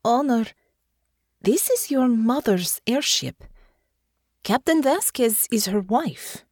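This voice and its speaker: A woman voicing a blunt but caring foreign woman, speaking deliberately and dropping small words. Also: on the high side, expressive, a little mellow, slightly rough, no echo, studio quality, pitched above the performer's own voice, heavily acted. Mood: exasperated. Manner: slow, deliberate, dropping articles.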